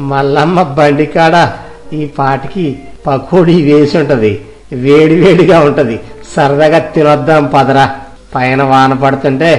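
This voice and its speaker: A man's voice: medium pitch, a little mellow, close to an everyday voice, low-quality recording. A man playing an arrogant, mocking rich village man in a moral story, speaking colloquial rural Telugu. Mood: self-satisfied. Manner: mocking, boastful, condescending. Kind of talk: moral story audio drama. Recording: music behind the voice.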